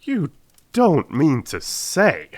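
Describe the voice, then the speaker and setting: A man playing an astonished, formal gentleman doctor in a theatrical audiobook. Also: on the high side, huge pitch swings, drawn-out words, voice pushed up, full character voice. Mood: amazed. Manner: incredulous, formal. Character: astonished, weary gentleman doctor, loyal companion. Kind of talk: theatrical dramatised audiobook acting.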